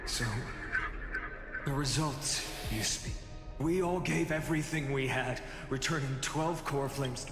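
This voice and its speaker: A man playing a solemn, resolute young fantasy hero in a video game, speaking in a grave, measured way. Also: medium pitch, lightly acted. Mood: defiant resolve. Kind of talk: dramatic video game voice acting. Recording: reverberant hall effect over music.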